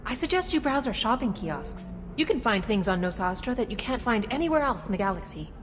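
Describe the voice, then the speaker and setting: Courteous alien concierge, long reverb. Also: female voice, on the high side, very animated, over music. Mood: courteous, informative. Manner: polite, formal, measured official courtesy. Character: courteous female alien concierge of a trade city. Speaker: city guide. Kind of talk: scripted video game dialogue. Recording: long reverberant hall, some background noise.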